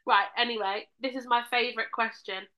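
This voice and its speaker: A woman with a Southern English accent chats casually as a podcast co-host. She keeps the talk moving with playful questions and jokes. Her voice sits fairly high and sounds bright and forward. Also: steady tone, fairly quick, poor audio. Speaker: podcast co-host and musical theatre actor. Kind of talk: casual chat.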